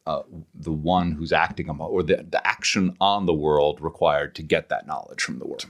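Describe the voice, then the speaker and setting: A man speaking as an interview guest, explaining ideas conversationally in a halting, searching, scholarly way with frequent self-corrections. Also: rumbling low. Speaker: guest author.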